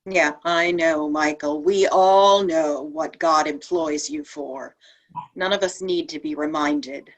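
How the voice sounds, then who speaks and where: A woman's voice: sits low, fairly even intonation, slow, poor audio. A woman playing an outspoken, indignant saint in a play reading, emphatic and challenging in her delivery. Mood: irritated. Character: outspoken, indignant female saint doubting heaven's plan. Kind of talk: virtual table read of a stage play.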